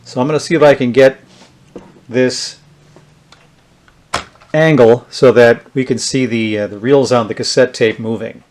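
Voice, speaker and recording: A man with a medium-pitched voice talks through a hands-on demonstration in a casual way. He is unscripted and thinks aloud as he tests, like a hobbyist reviewer showing a device. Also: steady tone, measured pace, pauses often, darkish tone. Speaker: vintage electronics reviewer.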